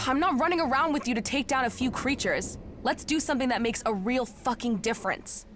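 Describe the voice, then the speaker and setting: A woman's voice: sits high, fairly quick, fairly bright tone, slight background noise. An actress playing a brash, impatient, foul-mouthed woman warrior in a video game, blunt and combative. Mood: impatient, defiant. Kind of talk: video game cutscene acting.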